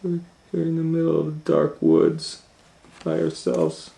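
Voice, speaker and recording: A man voicing a frightened, complaining little girl in a storybook fairy tale, with loud exclamations. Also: a little high, level tone, measured pace, creaky, pitched above the performer's own voice. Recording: roomy echo, faint background noise.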